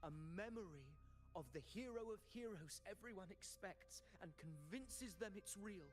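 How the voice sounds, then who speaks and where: A high-voiced, brooding, theatrical young man. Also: lively intonation. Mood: fervent. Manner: dramatic, deliberate, philosophical monologue delivery. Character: brooding, theatrical young man questioning his own existence. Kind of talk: video game voice acting. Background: background music.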